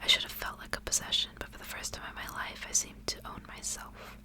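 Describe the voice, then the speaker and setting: A woman softly whispering in an ASMR style, reading aloud in a gentle, measured way. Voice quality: soft unvoiced whisper.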